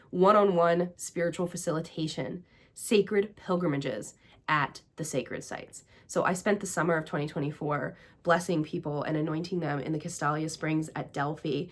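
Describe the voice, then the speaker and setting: A woman with an American accent, hosting her own show and talking through her year in a chatty, gushing way with many fillers. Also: low-pitched. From Greece and the United States. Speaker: podcast host and priestess. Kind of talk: casual personal recap talk.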